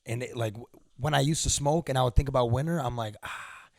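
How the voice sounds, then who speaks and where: A man in his late twenties chats on a podcast in a relaxed, laid-back way, swearing offhandedly. His voice sits at an ordinary middle pitch. It is close and dry, with no room echo. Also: fast talker, creaky. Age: late 20s. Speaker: podcast co-host. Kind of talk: casual chat.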